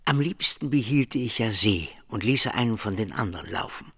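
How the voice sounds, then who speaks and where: An actor playing a gruff, bullying middle-aged employer in a radio drama, husky and curt. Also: male voice, lowish voice, animated, moderate pace. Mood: stern, reproachful. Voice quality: husky, rough. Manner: curt, commanding. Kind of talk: German radio drama acting.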